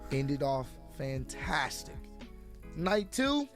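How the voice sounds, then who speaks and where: A man with a hoarse, sore voice giving a casual review and talking straight to his viewers. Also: on the high side, sing-song, extremely slow, music in the background. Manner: chatty, addresses viewers directly. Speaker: wrestling show reviewer.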